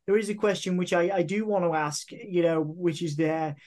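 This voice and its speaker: A man's voice: high voice, darkish tone. A man from the United Kingdom hosting an interview, affirming his guest and often restarting his sentences. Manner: affirming, halting, many restarts. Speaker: interviewer host. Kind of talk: interview conversation.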